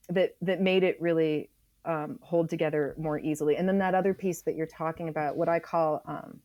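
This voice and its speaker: Interview guest: American woman, low pitch, emphatic and conversational, thinking aloud, very dry sound. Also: a little mellow, dry recording. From Oregon, United States. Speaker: interview guest, author and geologist. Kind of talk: reflective conversational interview explanation.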